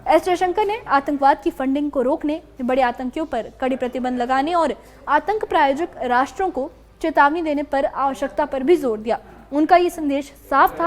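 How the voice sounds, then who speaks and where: A woman's voice: high-pitched, moderate pace. A woman reading a scripted news report as a reporter. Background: background music bed.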